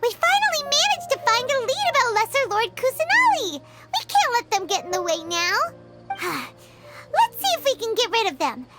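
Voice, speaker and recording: A woman voicing a tiny, excitable and bossy childlike girl companion in an animated game, with a squeaky voice and brash manner. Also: normal speed. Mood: determined. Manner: brash, exclamatory. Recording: music playing behind the voice.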